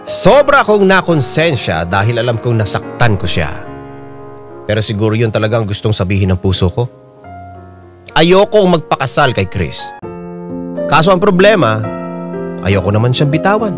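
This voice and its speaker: A Filipino man narrates a radio drama in the style of a dramatic letter reading. His mid-range voice is very animated and moves widely in pitch. Prominent piano music plays behind him. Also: moderate pace, pauses often.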